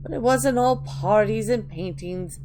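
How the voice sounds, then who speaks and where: A woman voicing an eerie, wistful old granny witch who rambles slowly in a dramatic reading of video game lore. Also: a little high, a little mellow, slight background noise, close to an everyday voice. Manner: slow, wistful rambling with drawn-out endearments.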